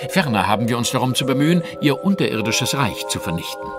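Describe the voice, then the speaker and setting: A man plays a cool Victorian detective in an audio drama, formal and analytical. His mid-pitched delivery moves expressively up and down, with music playing behind him. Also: sing-song, quick pace, natural voice. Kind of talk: German audio drama acting.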